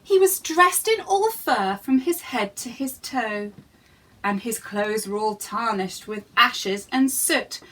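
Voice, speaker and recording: A woman's voice: fairly high pitch, lively intonation, slow pace, pushed and bright, poor audio. A woman reading aloud as a children's storyteller, theatrical and speaking straight to young listeners. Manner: theatrical, addresses young listeners directly with questions.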